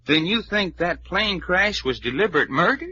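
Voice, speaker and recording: A man's voice: high-pitched, animated, normal speed, sharp tone, full character voice. A man playing a folksy, blunt sidekick investigator with a Southern American drawl in an old-time radio drama. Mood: curious, skeptical. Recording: dull, lo-fi old radio recording, faint noise.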